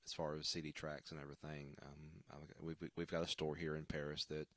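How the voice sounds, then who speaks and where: A man with an extremely low baritone, his voice worn and scratchy. He talks casually and haltingly, with lots of ums and restarts. The sound is close and dry. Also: little pitch movement, no echo. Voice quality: deep baritone. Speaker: worship singer hosting a livestream. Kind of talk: casual livestream sign-off chat. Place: at home.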